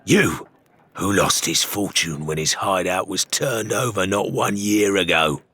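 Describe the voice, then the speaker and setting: A man's voice: low-pitched, expressive, a little slow, bright, forceful tone, breathy edge, full character voice. A man voicing a haughty, scornful pirate who sneers at a rival in an audiobook. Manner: sneering, declamatory. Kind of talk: audiobook character voice.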